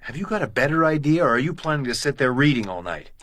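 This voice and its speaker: A man's voice: medium pitch, sing-song, fast, fairly bright tone. An actor playing a practical, skeptical male police sergeant in a radio crime drama. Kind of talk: detective radio drama acting.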